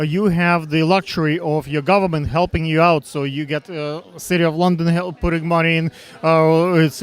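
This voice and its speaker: Halting man with a Russian accent. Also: a little high, clean tone. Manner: halting, many fillers and restarts. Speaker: interviewer. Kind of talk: casual interview questions. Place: backstage at a fashion show.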